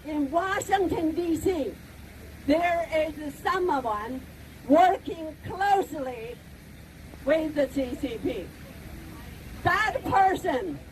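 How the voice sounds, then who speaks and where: A middle-aged woman from China with a Chinese accent, giving an emphatic protest speech outdoors and rising to shouts at key words. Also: extremely high pitch, a little hiss or hum, low-quality recording. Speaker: rally speaker. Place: outdoors at a street rally.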